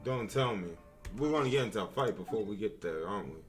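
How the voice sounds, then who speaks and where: A young man doing casual live reaction commentary as a game streamer, talking in slangy, animated bursts. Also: on the low side, fast, soft background music.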